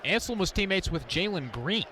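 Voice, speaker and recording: High-voiced male basketball color commentator. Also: big pitch swings, moderate pace. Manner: analytical, stat-driven. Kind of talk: live basketball analysis. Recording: loud arena noise behind the voice. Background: gym ambience, bouncing basketballs.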